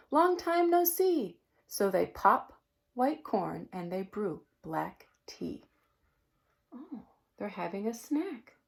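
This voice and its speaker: A woman reading a picture book aloud to children, expressive, with gasps and chatty asides. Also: mid-range voice, creaky. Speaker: storybook reader.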